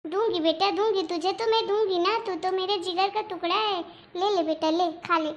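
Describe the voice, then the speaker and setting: Stubborn old grandmother, shrill and accusing, played by a woman; very high, piercing pitch. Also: moderate pace, lo-fi sound. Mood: doting. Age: over 60. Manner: shrill, accusing, whiny demands.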